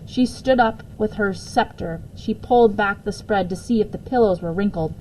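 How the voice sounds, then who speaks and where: Expressive woman reading a children's story. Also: mid-range voice, normal speed, poor audio. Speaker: story reader. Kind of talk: children's book read-aloud. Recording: faint background noise.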